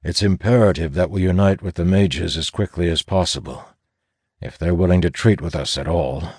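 A man voicing a reluctant, solitary ranger leader, grim and determined, with an earnest, measured delivery in a fantasy audiobook. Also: deep voice, a little mellow, breathy edge, dry recording, clean studio sound. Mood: grim, resolute.

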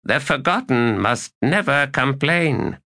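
A man voicing a bitter, ambitious one-eyed male elder god who speaks in a forceful, scornful and commanding way. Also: medium pitch, lively intonation, slow, pitched above the performer's own voice, exaggerated voice. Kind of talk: audiobook character reading.

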